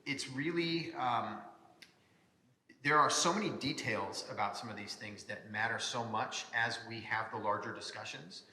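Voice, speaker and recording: A man with an American accent giving an informal public presentation, halting at times and repeating words for emphasis. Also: medium pitch, sharp tone. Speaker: city mayor presenting. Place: presentation hall before an audience. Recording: echoey hall, long reverb.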